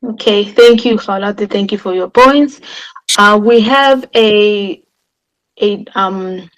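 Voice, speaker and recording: A woman moderating an online debate in an informal manner, often correcting herself with quick apologies. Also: mid-range voice, fairly bright tone, rough recording.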